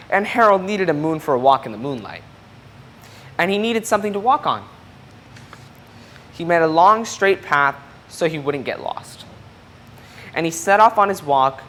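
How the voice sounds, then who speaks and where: A reader with a mid-range voice presents a children's picture book. The delivery is expressive and explanatory, turning to young listeners with questions and asides. Some noise sits behind the voice. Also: medium pitch, unhurried, long pauses. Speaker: storybook reader.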